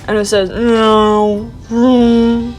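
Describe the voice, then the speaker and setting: A woman's voice: fairly high pitch, little pitch movement, very slow, darkish tone, soft background music, low-quality recording. A young woman in her twenties, sounding congested and sniffly, chatting casually and playfully with lots of slang while showing her sketchbook. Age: young adult. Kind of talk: casual vlog chat.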